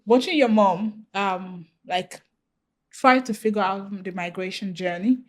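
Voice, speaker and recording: A woman's voice: medium pitch, unhurried. A woman with a Nigerian accent hosting a podcast, asking questions in a curious, easygoing way and laughing often. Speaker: podcast host. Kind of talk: casual interview chat.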